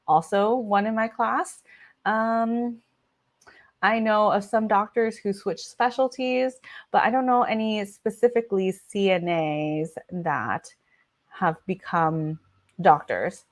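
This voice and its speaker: A woman's voice: medium pitch, takes it slowly. A young woman physician from the United States whose first language is Vietnamese, giving chatty, casual advice with lots of filler words. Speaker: physician, channel host. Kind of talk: casual advice talk to camera.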